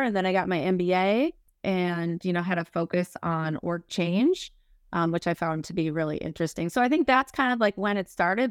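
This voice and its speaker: Low-voiced American woman chatting informally. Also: dry recording, studio quality. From Minnesota, United States. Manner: informal, peppers talk with "like" and "you know". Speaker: podcast guest, human resources executive. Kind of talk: casual career interview, conversational storytelling.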